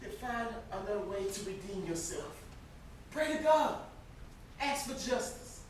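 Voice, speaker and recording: Distant echoey stage sound, young man playing anguished moral brother, very high bright voice, earnest, pleading. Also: expressive, unhurried, a little hiss or hum. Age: young adult. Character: anguished, conscience-stricken brother resisting revenge. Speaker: student stage actor. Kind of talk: stage play acting.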